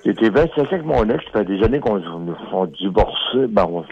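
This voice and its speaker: A man's voice: on the low side, big pitch swings, a little fast, gravelly, husky, dry recording. A man in his thirties with a Québécois French accent, calling in to a radio show and rambling hesitantly with many fillers. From Quebec. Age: 30s. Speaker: radio caller. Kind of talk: casual phone-in chat. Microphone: telephone handset. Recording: dull muffled phone line.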